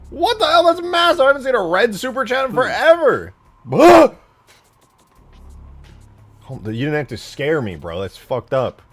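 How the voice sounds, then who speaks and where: A man chatting casually as a livestream host, excitable and informal, with slangy, sweary talk. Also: very high-pitched, breathy edge. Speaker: streamer, host. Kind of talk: casual livestream chat and commentary while modding a game.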